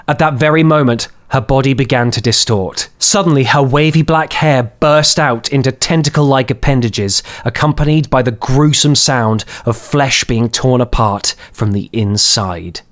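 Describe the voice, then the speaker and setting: A man's voice: medium pitch, moderate pace, hardly any pauses. A man reading scripted fantasy horror as an audiobook narrator, in a dramatic storytelling style.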